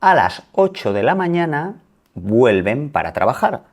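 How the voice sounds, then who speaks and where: A man teaching in a video, telling a simple story slowly for learners and rephrasing words as he goes. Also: medium pitch, big pitch swings, highs cut off. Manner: clear explanatory delivery, rephrasing words.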